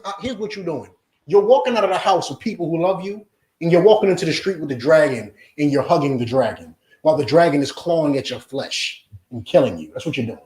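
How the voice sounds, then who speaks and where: A man preaching a forceful lesson to a class, often asking if they understand. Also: fairly high pitch. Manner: forceful, repeats "you understand?". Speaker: religious teacher. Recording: echoey room.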